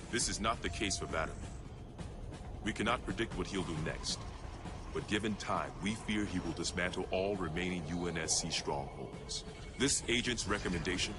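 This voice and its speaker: A grim intelligence officer delivers a report in a very low voice. He is formal, clipped and grave, and loud music runs behind him in this game cutscene. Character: grim military intelligence agent reporting on an enemy. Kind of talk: scripted narration of a military report.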